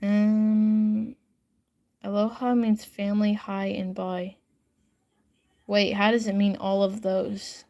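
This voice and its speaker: A woman's voice: mid-range voice, flat delivery, slow pace, pauses often, warm tone, dull audio. A young woman chatting casually on a livestream at home, rambling, giggling and getting sidetracked while reading comments. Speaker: livestreaming artist. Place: home bedroom upstairs.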